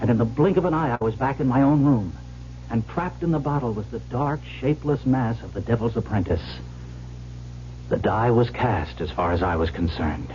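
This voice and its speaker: A nervy young heir telling his own story, voiced by a man, wry and quick to joke at himself. His voice is mid-pitched and plain. It is heard as muffled old radio with music underneath. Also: mellow, close to an everyday voice. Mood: narrating. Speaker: narrator and lead in radio drama. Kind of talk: 1970s radio drama narration.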